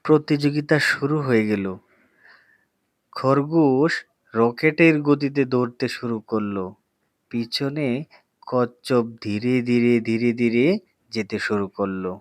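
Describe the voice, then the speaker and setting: A man narrating a scripted children's fable as a storyteller, in a deliberate, drawn-out manner. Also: medium pitch, no echo.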